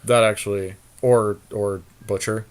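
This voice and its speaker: A man's voice: lowish voice, fairly even intonation, measured pace, smooth. A man giving casual gameplay commentary as a co-host, thinking aloud and deliberating as he talks with a partner. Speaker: podcast host playing an online card game.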